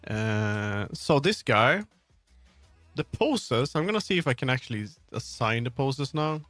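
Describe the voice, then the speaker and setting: A man's voice: mid-range voice, big pitch swings, measured pace, a bit bright, dry recording. Rambling male streamer, close dry sound. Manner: rambling, thinks aloud, trails off mid-sentence. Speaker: livestreamer, game developer. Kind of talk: casual rambling livestream chat while working. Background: background music.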